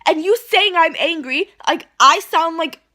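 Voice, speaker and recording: High-voiced woman ranting into her phone. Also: a little slow, bright, forceful tone. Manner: ranting, indignant, rambling with restarts. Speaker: vlogger. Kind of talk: heated rant to camera. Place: at home, indoors.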